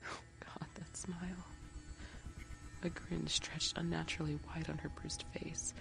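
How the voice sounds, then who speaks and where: Horror narrator, medium pitch, creaky and breathy phrase endings, measured suspenseful delivery, music behind. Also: flat delivery, in a whisper, low-quality recording. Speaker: storyteller. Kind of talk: scripted first-person horror story narration. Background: background music.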